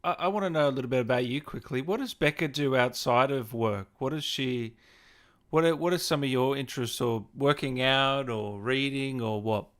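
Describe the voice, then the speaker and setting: A man hosting a casual gaming interview over a video call, asking questions in a relaxed chatty style. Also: medium pitch, moderate pace. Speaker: interviewer, gaming show host.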